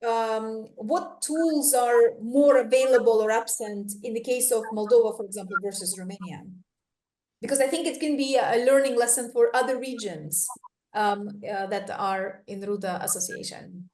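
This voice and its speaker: A woman's voice: a little high, moderate pace, a little mellow, slight background noise. A woman moderating a panel, framing a long question with hedges and fillers. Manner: wordy, hedging question with fillers. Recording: echoey room.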